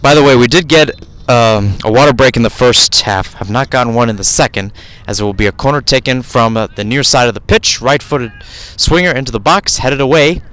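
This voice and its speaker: A man's voice: medium pitch, fairly quick, fairly bright tone. A man doing live radio play-by-play of a soccer match outdoors, describing the action in short, clipped phrases. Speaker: play-by-play sports commentator.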